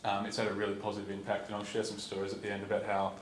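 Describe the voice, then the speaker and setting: A young Australian man speaks casually to a lecture audience, low-pitched and fairly monotone. He rambles and restarts often. The sound is distant and roomy, with steady noise behind him. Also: very fast. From Perth, Western Australia. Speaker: student speaker at a conference. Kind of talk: informal talk to a lecture audience. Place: lecture theatre. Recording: echoey hall, distant, noisy.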